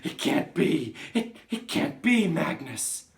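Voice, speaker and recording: A man's voice: lowish voice, very animated, takes it slowly, pushed and bright, roomy sound, voice pushed up, heavily acted. A man voicing a tyrannical, middle-aged headmistress who barks contemptuous insults in a theatrical storybook reading. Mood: alarmed, outraged. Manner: barking, contemptuous, over-emphatic.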